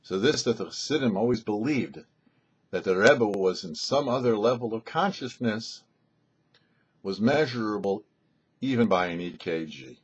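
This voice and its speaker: A man telling a story as a show host, in a measured, deliberate narration with dramatic pauses. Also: on the low side, lively intonation, husky. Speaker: rabbi and radio storyteller, host. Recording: dull, muffled lo-fi recording.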